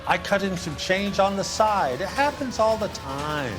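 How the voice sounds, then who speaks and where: An actor playing a slick, evasive 1940s American used-car dealer in a crime game, with smooth, defensive salesman patter. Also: sits high, lively intonation, measured pace, over music. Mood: defensive, grudging. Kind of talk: dramatic game acting, period crime story.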